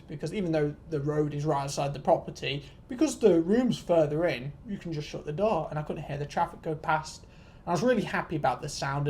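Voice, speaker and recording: Casting: vlogger in their twenties, medium-pitched voice, casual storyteller, rambling, self-deprecating, sweary. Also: a little mellow. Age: 20s. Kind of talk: casual storytelling rant to viewers.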